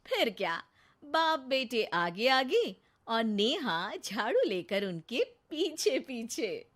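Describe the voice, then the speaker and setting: A female storyteller reading scripted cartoon narration. Her voice is very high and full of melodic movement, and she moves slowly through the tale. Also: very animated, a bit bright.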